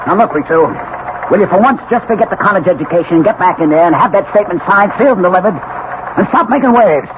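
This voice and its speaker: Impatient police lieutenant, gruff manner, slangy, highish voice, radio drama, dull noisy broadcast sound. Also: male voice, lively intonation, fast talker. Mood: exasperated. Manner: blunt, slangy, exasperated. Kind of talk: 1970s radio drama acting. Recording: muffled, noisy 1970s radio recording.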